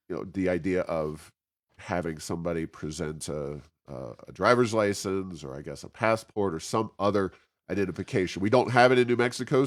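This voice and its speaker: Low-voiced man hosting an interview. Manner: halting, searching phrasing. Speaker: interview host. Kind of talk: conversational interview.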